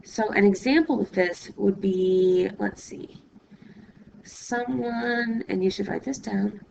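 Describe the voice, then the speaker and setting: A hoarse woman teaching math, her voice very gravelly and rough from illness. She walks through each step patiently, pausing as she writes and checking that students follow. Steady background noise sits under the recording. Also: mid-range voice, dull audio. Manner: patient step-by-step explaining, asks "okay?"; writes while talking, pausing mid-sentence. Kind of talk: recorded classroom lesson.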